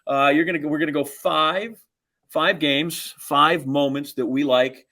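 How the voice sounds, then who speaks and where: A man's voice: a little high, steady tone, measured pace, pushed and bright. A middle-aged man co-hosting a podcast, chatting casually about sports with dry, teasing sarcasm. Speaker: podcast co-host.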